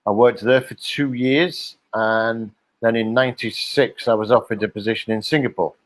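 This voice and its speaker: Middle-aged Liverpool man, chatty guest with a jokey streak, mid-pitched voice, recorded dry. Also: fairly even intonation, normal speed, no echo. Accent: Liverpool English. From England (Liverpool). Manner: chatty, jokey storyteller with frequent "uh" and "um". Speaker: guest, hospitality company director. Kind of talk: casual interview over video call.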